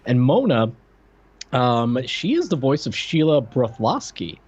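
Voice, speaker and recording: A man's voice: mid-range voice, very animated, measured pace, a little mellow, husky. A man co-hosting a podcast in casual chat with a wry manner, on a dull, lo-fi microphone. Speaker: podcast co-host.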